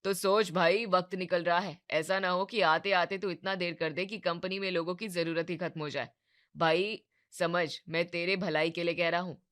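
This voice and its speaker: A woman voicing a pushy, confident young man from the city, persuasive and insistent, in animated story dialogue. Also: fairly low pitch, normal speed, lightly acted. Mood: urgent, insistent.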